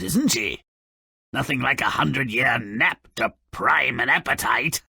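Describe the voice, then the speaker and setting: Nasty little fairy tale grump for a video game, gravelly creaky voice, super swoopy, sneering and sarcastic. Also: male voice, a little high, pushed and bright, no room sound, clean studio sound, exaggerated voice. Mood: gleeful disdain. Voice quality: raspy, gravelly. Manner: theatrical, sneering, mocking, ornate vocabulary. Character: small gleefully malicious dwarf-like storybook grouch, sardonic and impatient. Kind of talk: video game character narrating dark fairy tales.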